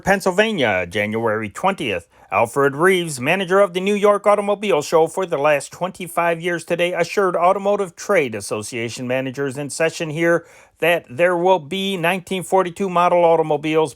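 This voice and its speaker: Amateur male news reader, slightly higher voice, steady dispatch reading with the odd stumble. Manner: amateur, measured reading with occasional slips and self-corrections. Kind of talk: reading old newspaper dispatches aloud.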